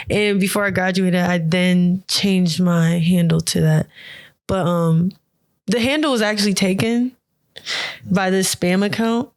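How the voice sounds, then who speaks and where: A young American woman from Georgia, a podcast guest telling laid-back stories full of slang and laughs. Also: mid-range voice. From United States. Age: young adult. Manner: laughing, loose storytelling with slang. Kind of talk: casual chat.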